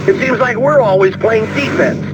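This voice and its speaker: Rebel fighter pilot, game cutscene. Cocky, scrappy, high register, blaring score underneath. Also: male voice, moderate pace, dull audio, over loud music. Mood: satisfied. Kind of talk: video game cutscene acting.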